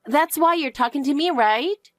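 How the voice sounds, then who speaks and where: Woman doing a clingy, high-voiced little girl. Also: normal speed, dry recording, clean studio sound, close to an everyday voice. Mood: eager. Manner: pleading, eager questions. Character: young girl, anxious and clingy.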